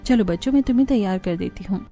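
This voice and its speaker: A woman's voice: medium pitch, fast talker, warm tone, soft background music, lightly acted. A woman voicing a cheerful, dutiful young daughter-in-law in a Hindi cartoon story. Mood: helpful.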